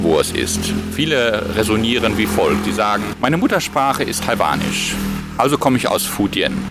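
Man, low voice, researcher explaining findings, counts aloud, faint noise behind. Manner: lively explaining, counting out loud. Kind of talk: explanatory talk.

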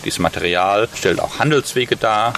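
A man's voice: fairly low pitch, normal speed, background noise. A man, a professor, explaining his research in an interview, lecturing steadily and thinking aloud with fillers and restarts, recorded outdoors.

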